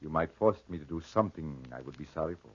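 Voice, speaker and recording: A man playing a stern, honor-bound husband in 1940s radio drama style, firm and quietly menacing. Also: extremely low pitch, lively intonation, fast, husky, heavily acted. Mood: quiet menace. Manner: firm, authoritative. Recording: muffled old radio recording.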